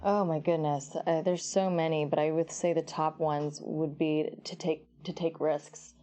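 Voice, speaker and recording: A young actress with a deep voice for a woman, chatting in an interview and telling stories with laughs and many restarts. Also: fairly even intonation, a little slow, mellow. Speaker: actress guest. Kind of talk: casual interview chat.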